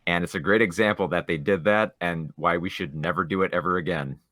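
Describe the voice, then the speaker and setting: A man's voice: sits low, a little fast, a bit bright, dry recording, studio quality. A man co-hosting a film podcast, casually discussing movies in an opinionated, explanatory way.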